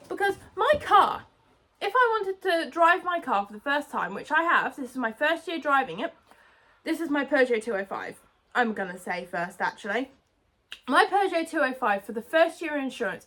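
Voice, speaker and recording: A woman's voice: sits high, a bit bright. A young British woman, about nineteen, ranting indignantly into her phone outdoors by a road. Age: young adult. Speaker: vlogger. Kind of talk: ranting vlog. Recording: phone mic outdoors, cars passing.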